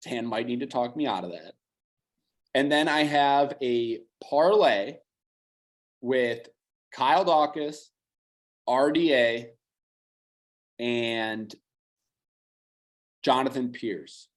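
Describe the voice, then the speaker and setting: A slightly hoarse man co-hosting a podcast, running through picks in quick, analytical chat and dropping the odd curse. His voice sits at an ordinary middle pitch. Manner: fast, analytical, casual swearing. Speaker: podcast co-host. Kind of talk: casual betting chat.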